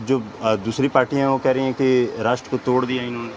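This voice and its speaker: A man working as a TV news reporter, doing street interviews with halting, repetitive phrasing in an outdoor market. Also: medium pitch, little pitch movement, slight background noise. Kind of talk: street vox-pop interviewing. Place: outdoors in a busy city market. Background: faint urban street ambience.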